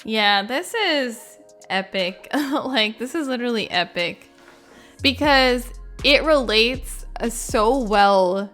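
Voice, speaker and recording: A woman's voice: on the high side, lively intonation, slow, fairly bright tone, faint music behind. A woman giving casual reaction commentary in an informal, chatty way, with frequent use of "like". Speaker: gaming video creator.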